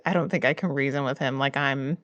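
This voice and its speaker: A woman's voice: very deep voice, steady tone, a little fast, a bit bright, dry recording, dull audio. A woman chatting casually as a player in a tabletop role-playing game, thinking aloud about what her character does. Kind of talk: casual improvised game chat.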